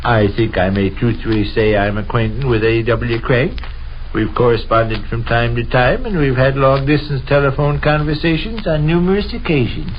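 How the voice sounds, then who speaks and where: A man's voice: medium pitch, moderate pace, close to an everyday voice. A man playing an exasperated, wordy, stuffy American bookkeeper husband in a 1930s radio comedy. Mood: exasperated, pompous. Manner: pompous, wordy, indignant. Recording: dull, noisy 1930s radio recording.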